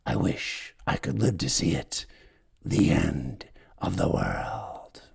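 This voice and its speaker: A man voicing a dying old male servant who gasps and croaks in a bitter whisper. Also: low voice, huge pitch swings, slow pace, darkish tone, voice pushed down, exaggerated voice. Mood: whispering dread. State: dying, gasping.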